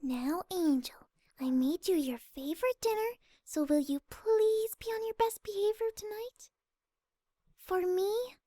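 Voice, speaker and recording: A woman voicing a timid, gentle young female pegasus pony, soft-spoken, hesitant and polite, in a story reading. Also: very high-pitched, unhurried, no echo, clean studio sound. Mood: gently pleading. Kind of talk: fan audiobook character acting.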